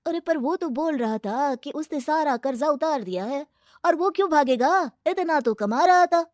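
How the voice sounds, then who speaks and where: A woman's voice: sits high, expressive, normal speed, no echo, clean studio sound. A woman voicing a nosy village customer who questions and complains in a moral story. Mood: suspicious. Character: nosy, protesting village customer of a food cart. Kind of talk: animated moral-story character dialogue.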